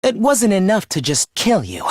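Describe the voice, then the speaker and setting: A young male video game traitor with a voice that swings widely in pitch. He is gloating, contemptuous and genocidal, delivering his villainy with theatrical menace. The sound is dry and close. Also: wildly swooping pitch, moderate pace, a little mellow, no room sound, exaggerated voice. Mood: quiet menace. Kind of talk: video game voice acting, villain confession monologue.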